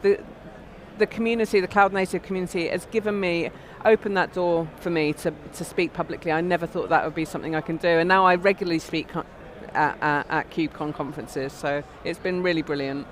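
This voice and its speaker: Man, sign language interpreter, high voice, measured and halting, faint noise behind. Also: steady tone. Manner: measured, slightly halting first-person interpreting. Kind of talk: voicing a signer in an interview.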